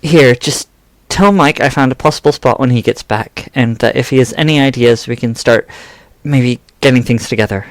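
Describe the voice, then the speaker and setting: A man playing an amiable but worried, practical character in a fan audio drama, speaking haltingly. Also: mid-range voice, no echo, lightly acted. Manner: hesitant, halting.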